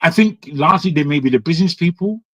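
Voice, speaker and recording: A man holds forth on a talk show in a voice sitting a little high for a man. He talks emphatically, circling back and repeating words as he restarts his sentences. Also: animated, fast talker, fairly bright tone. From Nigeria. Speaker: panel commentator. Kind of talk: opinionated talk-show commentary.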